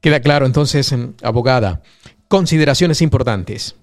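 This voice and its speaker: A man's voice: mid-range voice, moderate pace, faint music behind. A man hosting a radio show, politely asking a lawyer clarifying questions. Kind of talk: interviewing a guest.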